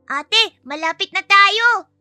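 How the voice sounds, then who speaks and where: A woman's voice: very high-pitched, lively intonation, slow pace, sharp tone, no room sound, faint music behind, voice pushed up, heavily acted. A woman voicing a cheeky, sweet, outspoken young child in an audio story, with a chirpy, teasing way of talking. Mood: excited, sweet. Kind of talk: acted audio story dialogue.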